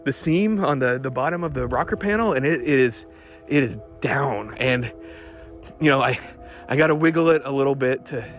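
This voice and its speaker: A man acts out an unravelling car builder recording his late-night confessions. He talks in halting, stammering bursts, fixated and obsessive, as if in a private audio diary. Music runs under the voice. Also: mid-range voice, natural voice. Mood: shaky pride.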